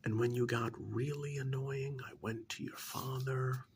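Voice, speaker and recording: A man playing a coldly patronizing serial killer in a soft-spoken ASMR role-play, breathy and half-whispered, with condescending, deliberate delivery. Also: mid-range voice, fairly even intonation, a little hiss or hum, natural voice. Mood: soft contempt. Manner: slow, deliberate, condescending, drawn-out pauses. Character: menacing male serial killer, coldly patronizing to his captive.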